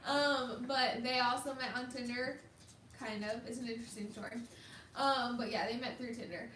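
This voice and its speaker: A young woman in her twenties co-hosting a casual live chat, talkative and quick to laugh. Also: on the high side, steady tone, fairly bright tone, slight background noise. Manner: chatty, laughs often. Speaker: co-host. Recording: echoey room, mic far off.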